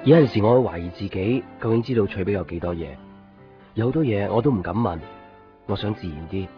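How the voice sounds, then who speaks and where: A man plays a curious young boyfriend with a Hong Kong Cantonese accent in a radio drama. He is soft-spoken and unsure, yet talks quickly in a low voice. The sound is dull, with some music behind. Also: expressive, natural voice. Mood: pensive. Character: earnest, curious young boyfriend, unsure about his secretive girlfriend. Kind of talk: Cantonese radio drama acting with reflective narration. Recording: muffled 1990s radio drama, background music.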